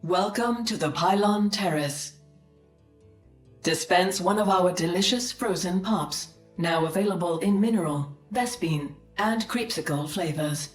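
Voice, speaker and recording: An actress voicing a composed military computer adjutant, making formal, dutiful announcements in a video game. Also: female voice, fairly low pitch, unhurried, lightly acted. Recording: echoey, with faint music behind.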